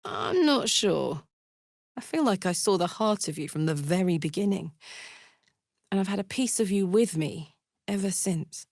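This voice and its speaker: Fiery horned warrior woman for a video game, blunt and brash, unhurried, really dry recording. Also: medium pitch, lively intonation, a little mellow, no room sound. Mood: somber. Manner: blunt, informal, swearing, soldierly. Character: brash, fiery female devil-horned warrior with an infernal engine heart. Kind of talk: video game character voice lines.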